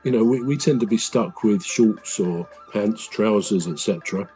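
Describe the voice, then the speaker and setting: Low, mellow-voiced man musing on a podcast. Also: normal speed, faint music behind, rough recording. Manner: thoughtful, rambling, with frequent fillers and restarts. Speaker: podcast co-host. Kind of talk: casual podcast chat.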